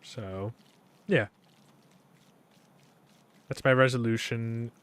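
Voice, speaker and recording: A young man streaming a game, talking in a casual, rambling way with a monotone delivery, full of fillers and restarts. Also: medium pitch, drawn-out words, smooth, no echo. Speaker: gaming streamer.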